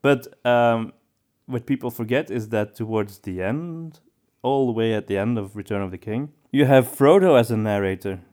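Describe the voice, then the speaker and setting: A man's voice: mid-range voice, normal speed, darkish tone. A man speaking as a podcast co-host in a casual discussion, giving his opinions as he thinks aloud.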